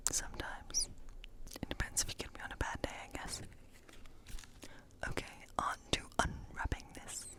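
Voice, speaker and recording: A woman playing a quirky, playfully spooky witch in a whispered ASMR role play, murmuring haltingly and repeating words to herself. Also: close to an everyday voice. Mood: musing, bashful. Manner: hushed, halting, muttering repetitions to herself.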